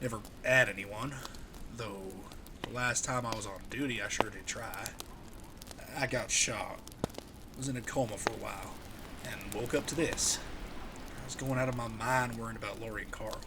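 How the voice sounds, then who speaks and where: A man's voice: lowish voice, fairly even intonation, moderate pace, heavy background noise, close to an everyday voice. A man playing an earnest, protective ex-policeman survivor in a horror comic dub. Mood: subdued, reflective. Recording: dramatic music behind the voice.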